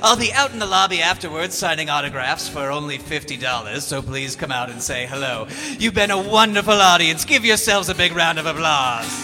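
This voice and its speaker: A man's voice: fairly high pitch, animated, brisk, few pauses, sharp tone, big-room sound, faint music behind, rough recording. A man playing a vain villainous showman who sings and banters with the crowd on a live stage. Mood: smug crooning. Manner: smarmy crooning showman with stage patter. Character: vain villainous male lounge-singer showman. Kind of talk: live comedic radio-play musical performance.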